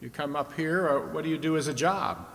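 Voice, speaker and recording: A man's voice: a little high, brisk, vocal fry, fairly bright tone. A man playing a genial, talkative 1950s radio game show host with folksy showman's patter. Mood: genial, explaining. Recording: reverberant hall with faint noise.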